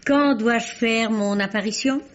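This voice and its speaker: An actor playing a dry, ironic gentleman detective in an old French radio drama. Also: extremely high pitch, a little slow. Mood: wry. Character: wry gentleman detective, amused and sharp. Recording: dull old radio recording.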